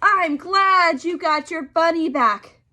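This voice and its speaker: Woman voicing an eager, high-pitched little storybook girl. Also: takes it slowly, sharp tone, full character voice. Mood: relieved, earnest. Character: excitable, earnest little girls in a storybook.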